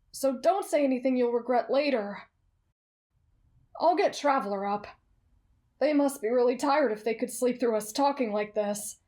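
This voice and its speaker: A woman playing a kind, polite, earnest teenage boy in an anime fan roleplay, speaking gently and considerately. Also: sits high. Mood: concerned.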